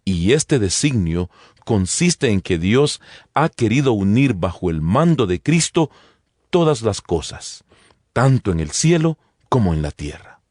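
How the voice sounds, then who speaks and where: A man's voice: on the low side, very animated, unhurried, a little mellow, dry recording, clean studio sound. A man reading scripture as a dramatized audiobook narration, in a solemn, declamatory manner. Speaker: Bible narrator. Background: background music.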